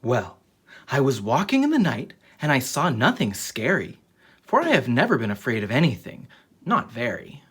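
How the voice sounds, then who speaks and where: Husky, expressive children's story reader. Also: mid-range voice, normal speed. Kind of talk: animated children's storybook read-aloud.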